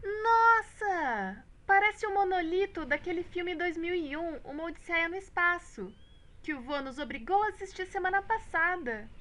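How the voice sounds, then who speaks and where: Woman voicing an excitable high-pitched little kid. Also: moderate pace, full character voice. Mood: excited, alarmed. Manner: exclamatory, eager. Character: excitable young child with a pet dog. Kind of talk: cartoon dialogue.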